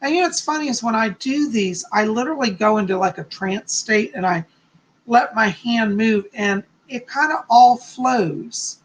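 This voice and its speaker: A man with a hoarse, slightly raspy voice, chatting casually from home as a psychic hosting his live stream and greeting viewers effusively. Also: high-pitched, moderate pace, poor audio. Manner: chatty, effusive, frequent "okay?" and "you know".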